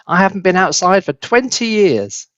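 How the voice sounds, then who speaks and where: A man's voice: high voice, sing-song, normal speed, a bit bright, no echo, lo-fi sound, voice pushed up. A man voicing a screechy, bossy, exuberant ancient grandmother in a children's storybook reading. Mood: wild excitement. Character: shrieking, gleeful, demanding ancient scrawny grandmother.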